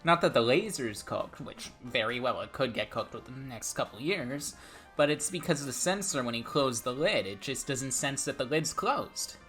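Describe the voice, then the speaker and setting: A man with a Portuguese accent co-hosting a casual podcast, relaxed and chatty, often repeating words. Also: mid-range voice, moderate pace, soft background music. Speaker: podcast co-host. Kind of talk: casual chat.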